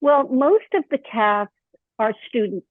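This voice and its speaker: Slightly hoarse woman on a phone line. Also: on the high side, measured pace, no echo. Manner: chatty, animated storytelling with many fillers. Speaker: podcast guest, author and actor. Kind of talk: casual interview chat. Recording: dry, thin phone-line sound.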